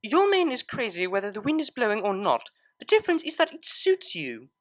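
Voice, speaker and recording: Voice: medium pitch, sing-song, fairly quick, no room sound, studio quality, pitched above the performer's own voice, natural voice. A narrator voicing a refined, shy and fretful young female pony cellist in a story, with prim, proper phrasing. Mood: dry.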